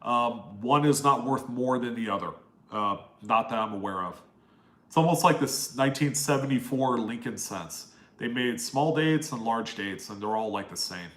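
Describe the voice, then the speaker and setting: A man's voice: mid-range voice, expressive, normal speed, a bit bright, echoey room. Nasal-voiced man hosting a live chat. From East Coast US, Maryland. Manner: rambling and friendly, reading out viewer names. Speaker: live stream host answering chat questions.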